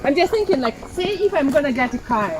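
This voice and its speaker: A woman's voice: high-pitched, lively intonation, quick pace. A woman chatting casually as a companion on an outing. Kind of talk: casual chat. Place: outdoors on a hike. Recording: music over outdoor talk.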